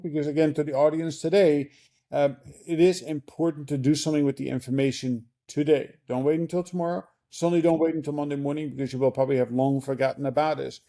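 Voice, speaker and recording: A man's voice: on the high side, mellow. A man hosting an interview podcast over a remote call, talking casually with many fillers and restarts. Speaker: podcast host.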